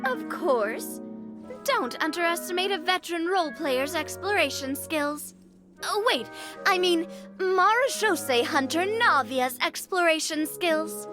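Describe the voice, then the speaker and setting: High-voiced confident young woman. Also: animated, measured pace, over music. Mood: playful. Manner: confident, playful. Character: confident, cheerful young woman playing hunter. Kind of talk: anime game voice acting.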